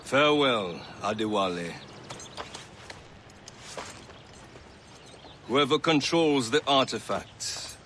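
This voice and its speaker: Casting: authoritative older assassin master, fairly deep, formal, faint noise behind, for game cinematics. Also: male voice, pushed and bright, heavily acted. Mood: commanding. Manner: formal, deliberate. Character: stern, authoritative older male assassin mentor. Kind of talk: game cutscene voice acting.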